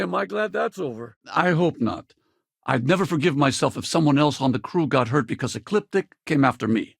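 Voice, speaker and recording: A weary, loyal middle-aged spaceman from a video game. His voice is husky and full of gravel, and it moves up and down freely as he talks in a casual, wry way. The recording is dry and close. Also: on the high side, no room sound, full character voice. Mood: battle-ready, urgent, then regretful. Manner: casual, wry, plain-spoken. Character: weary, wry male spaceship crewman and ex-soldier, loyal, regretful father. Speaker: video game companion character. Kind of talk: naturalistic video game dialogue.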